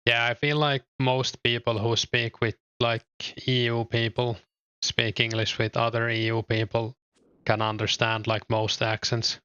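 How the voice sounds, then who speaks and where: Finnish guy streaming a game, chill and umm-y, really flat monotone, kinda muffled mic. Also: mid-range voice, unhurried, clear, resonant tone, no room sound. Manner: relaxed, hesitant, lots of fillers. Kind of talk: casual chat while gaming, reading chat.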